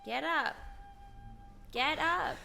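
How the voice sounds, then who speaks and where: A woman's voice: high voice, drawn-out words, gravelly, music in the background. A woman game streamer giving casual live reaction commentary as she plays.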